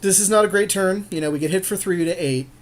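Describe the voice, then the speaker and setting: A man's voice: high voice, fast talker. A man chatting informally and agreeably as he co-hosts commentary on a game, using casual slang. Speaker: podcast co-host commentating a card game.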